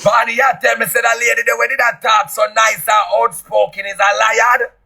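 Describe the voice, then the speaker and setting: A man with a Jamaican accent, boasting loudly and often shouting in a casual live chat. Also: very high voice, little pitch movement, moderate pace, vocal fry, breathy edge.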